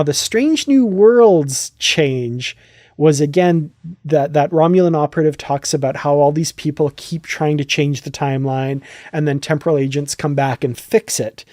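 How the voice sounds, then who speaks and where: A man with a somewhat high voice hosts a casual fan podcast. He lays out his points in an explanatory way, checking that he is being followed. The sound is very dry, with no room to it. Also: a little mellow, no room sound. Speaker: podcast host. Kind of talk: casual podcast discussion of a science fiction franchise.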